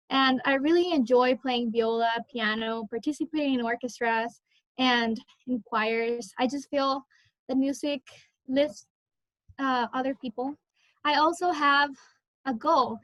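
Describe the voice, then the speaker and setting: A woman who learned English as a second language, giving a personal speech in short, measured phrases with pauses at an online event. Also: high-pitched, a bit bright, dry recording, rough recording. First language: not English. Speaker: scholarship recipient. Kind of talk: personal speech about her life and goals.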